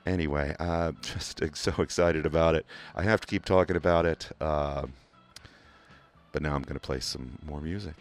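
A man with a Kentucky accent hosting a community radio music show from a studio, talking in a relaxed, rambling way with many "uh" fillers and restarts. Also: rumbling low, moderate pace. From England. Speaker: radio DJ host. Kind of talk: casual radio DJ talk. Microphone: studio microphone.

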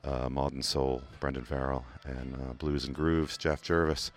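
Very deep-voiced Kentucky radio DJ man. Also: measured pace. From England. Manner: relaxed, rambling, many "uh" fillers and restarts. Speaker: radio DJ host. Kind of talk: casual radio DJ talk. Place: radio studio. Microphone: studio microphone.

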